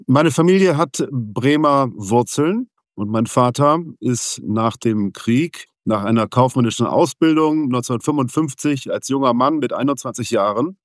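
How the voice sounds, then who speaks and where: A man who grew up in Venezuela, answering interview questions in a measured, explanatory way. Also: medium pitch, expressive, dry recording, clean studio sound. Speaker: interview guest, businessman.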